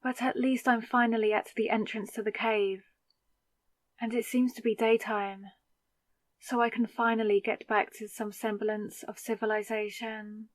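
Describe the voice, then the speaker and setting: A woman's voice: on the high side, little pitch movement, normal speed, no room sound, natural voice. A woman acting in an audio roleplay as a confused, hungover new vampire, wary and sarcastic, muttering in exasperation to herself. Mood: weary, determined.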